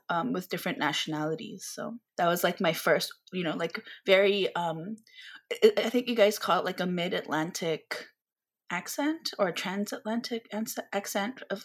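A woman from the Philippines, a podcast guest, talking in a chatty, animated way with lots of fillers. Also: lowish voice, moderate pace. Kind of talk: casual conversational interview.

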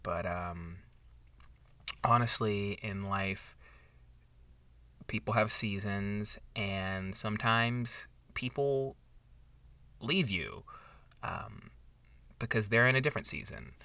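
An awkward, heartfelt man co-hosts a mock book podcast. His delivery is hesitant and slow, with a sharp, bright tone, in a natural improv comedy style. The recording is dry and slightly distant from the mic. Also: lowish voice, no room sound, exaggerated voice. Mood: wistful, halting. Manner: halting, hesitant, sincere. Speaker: podcast co-host.

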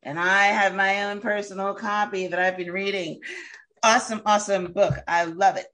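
A woman hosting a casual interview, enthusiastic and quick to laugh, with plenty of fillers. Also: medium pitch, fairly even intonation, a bit bright. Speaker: host.

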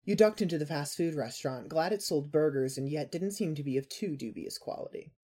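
Fiction podcast narrator: mellow, mid-pitched, a little husky, scripted storytelling read. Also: animated, brisk.

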